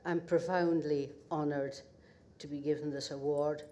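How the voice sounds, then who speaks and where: An older woman with a northeast Scottish accent gives a halting acceptance speech full of pauses and wry humour at an awards ceremony. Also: deep voice, fairly even intonation, dark tone, a little hiss or hum. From northeast Scotland. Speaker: award recipient. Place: ceremony hall at a podium.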